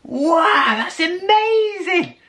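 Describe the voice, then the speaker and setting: A man voicing a wide-eyed, gullible young listener in oral storytelling, exclaiming in drawn-out astonishment. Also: extremely high pitch, expressive, bright, forceful tone, pitched above the performer's own voice, full character voice. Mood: amazed.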